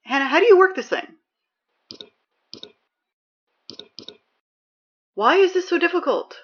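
A woman playing a reluctant, easily flustered high school announcer in an audio drama, awkward and deadpan with weary, exasperated asides. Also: fast, dull audio.

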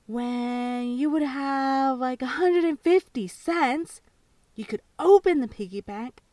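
A dreamy, imaginative little girl of about four wishes out loud in a high, lively voice, as a woman reads her part. She talks slowly and earnestly in a storybook tale. The sound is dry and set a little back from the mic. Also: dry recording, far from the mic.

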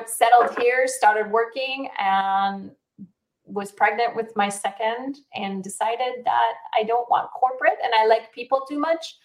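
A woman's voice: a little high, low-quality recording. A woman in her forties from Israel with a light South African accent, chatting easily as a realtor being interviewed, laughing often and using many fillers. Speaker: realtor and guest. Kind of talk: casual interview answers.

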